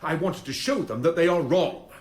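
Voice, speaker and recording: An actor playing a proud, stubborn accused solicitor in a radio drama, defensive and emphatic. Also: male voice, fairly high pitch, normal speed, close to an everyday voice. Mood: proud, defiant.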